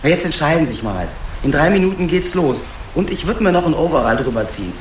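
An actor playing a casual, blunt ticket seller in a radio drama, offhand, colloquial and slightly cheeky. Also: male voice, on the high side, big pitch swings, quick pace, reverberant, slight background noise. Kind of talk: naturalistic radio drama dialogue.

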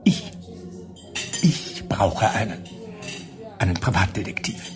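An actor playing a nervous, secretive male psychic client in a radio play, hesitant, hushing and fussily polite. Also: low voice, lively intonation, slow, over music. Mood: anxious pleading. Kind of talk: German radio play acting.

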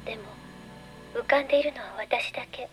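Woman playing anxious young woman patient, dreamy, halting, high soft-spoken delivery, radio drama. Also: faint music behind, lightly acted, low-quality recording. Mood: dreamy, hesitant. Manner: hesitant, dreamy recounting. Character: troubled twenty-four-year-old female model in therapy.

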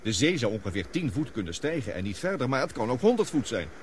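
An actor in a Dutch radio play, playing a reasonable, sceptical expedition colleague with a questioning, easily exasperated manner. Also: male voice, medium pitch, fast talker, slight background noise, lightly acted. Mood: matter-of-fact. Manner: incredulous, questioning, animated.

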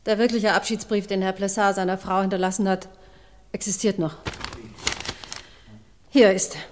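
A woman playing a distressed forty-year-old secretary confessing as a witness in a radio crime play, halting and faltering. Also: mid-range voice, fairly even intonation, noisy background, natural voice. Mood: remorseful, resolute. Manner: halting, faltering, repeating herself. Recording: long reverberant courtroom hall.

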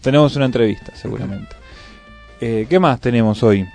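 Male radio co-host, Buenos Aires area accent, informal live chat, mid-pitched voice over faint music. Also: brisk, gravelly, darkish tone. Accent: Argentine, Buenos Aires area.

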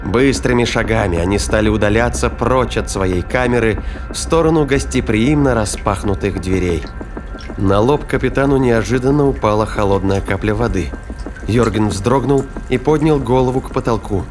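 A man's voice: lowish voice, moderate pace, a little mellow. A man narrating a sci-fi thriller audio drama in a scripted storytelling style. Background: music and sound effects.

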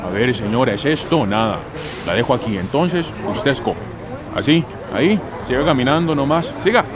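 A man's voice: medium pitch, animated, normal speed, crowd noise, lightly acted. A man acting a hard, impatient, domineering people smuggler in a radio drama. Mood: curt, cold. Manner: curt, domineering.

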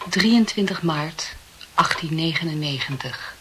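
An actress playing a composed, courteous young university student in a radio play. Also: female voice, deep voice, animated, measured pace, noisy background. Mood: gently direct.

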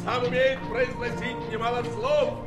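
An actor playing a grandiose fairground barker, calling out in theatrical sing-song in an audio play. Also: male voice, very high-pitched, flat delivery, unhurried. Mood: grandiose hawking. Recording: echoey old recording under loud music.

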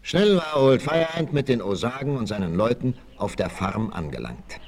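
A man's voice: mid-range voice, very animated, normal speed, creaky. A man narrating a 1960s radio adventure as scripted, dramatic storytelling. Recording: 1968 vinyl radio play, noisy.

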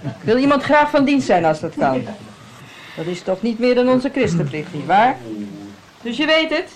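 A man's voice: extremely high pitch, big pitch swings, moderate pace, crowd noise, heavily acted. A man playing a gossipy, pious country innkeeper in a radio play, with a folksy, insinuating way of talking. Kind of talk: radio drama acting. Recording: radio play with clear background noise.

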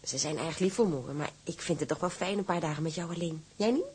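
An actress playing a gentle, devoted young seaman's wife in a radio drama, with a tender manner. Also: low voice, fast, breathy edge. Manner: tender, domestic.

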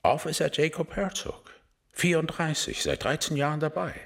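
An actor playing a gruff policeman in a radio crime drama, with a husky voice and a clipped, wry manner. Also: fairly high pitch, normal speed, a bit bright. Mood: brisk, wry. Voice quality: husky, raspy. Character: gruff male police officer briefing a colleague.

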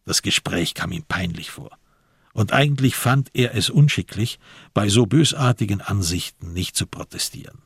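A man with a gravelly, husky voice reading literary narration for a crime radio play. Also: fairly low pitch, animated, normal speed, no room sound, clean studio sound. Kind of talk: scripted literary narration with reported speech.

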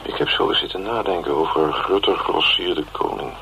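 A man's voice: low-pitched, brisk, gravelly. An actor with a Netherlands Dutch accent playing a wry, cynical working man in a radio drama, musing ruefully in a sardonic, rambling way. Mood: wry, speculating. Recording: dull, hissy old radio recording.

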